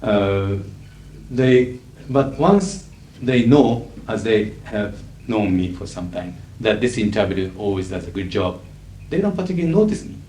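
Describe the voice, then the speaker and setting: Low-voiced Japanese-accented man lecturing. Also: lively intonation, warm tone. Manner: measured, emphatic, frequent "uh" fillers. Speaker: interpreter giving a lecture. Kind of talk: lecture to an audience. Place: lecture hall. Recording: echoey 1980s hall recording with faint hiss.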